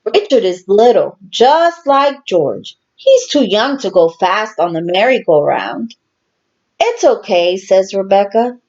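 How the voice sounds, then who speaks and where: Female teacher for children's read-aloud: unhurried, very expressive, simple deliberate phrasing, lo-fi recording. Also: medium pitch. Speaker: teacher reading to her class.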